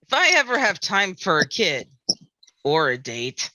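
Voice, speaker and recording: A woman's voice: on the low side, big pitch swings, a little slow, sharp tone, no room sound, heavily acted, rough recording. A woman playing a fretful beach-town sheriff at a script read, ranting with exasperated emphasis. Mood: weary griping. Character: fretful, griping small-town beach sheriff afraid of water. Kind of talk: musical script table read.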